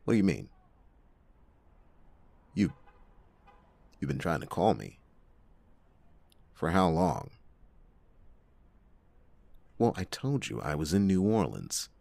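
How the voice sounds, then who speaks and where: A man's voice: low voice, very animated, creaky, darkish tone, full character voice. A man playing a world-weary, reluctant private detective in a hesitant, dramatic noir roleplay monologue. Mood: defensive, irritated. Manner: hesitant, drawn-out phrasing with pauses and sighing chuckles. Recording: echoey long reverb, music behind.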